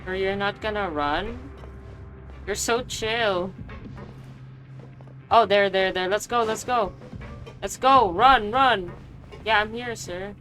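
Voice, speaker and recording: A woman's voice: medium pitch, takes it slowly, pauses often, fairly bright tone. A woman giving casual, slangy, playful commentary as she plays a video game. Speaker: game streamer. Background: game music.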